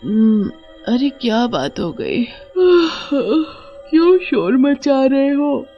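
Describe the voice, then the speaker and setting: A woman's voice: high voice, sing-song, extremely slow, highs cut off, soft background music, voice pushed up, full character voice. A woman voicing a sly village woman in a cartoon story, drawling and put-upon as she feigns innocence. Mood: sleepy annoyance.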